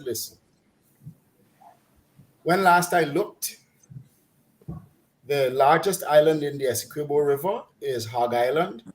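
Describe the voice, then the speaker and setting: A man's voice: on the high side, low-quality recording. A middle-aged man with a Guyanese accent, talking casually in a mocking, exasperated way and laughing now and then as he rants. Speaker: commentator on a talk program. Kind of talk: casual opinionated chat.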